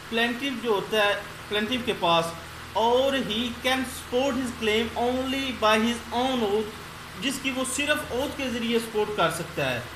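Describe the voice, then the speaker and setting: A woman giving an explanatory law lecture, reading out points in English and explaining them in mixed Hindi-Urdu. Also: on the high side. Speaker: law lecturer and channel host. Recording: roomy echo, clear background noise.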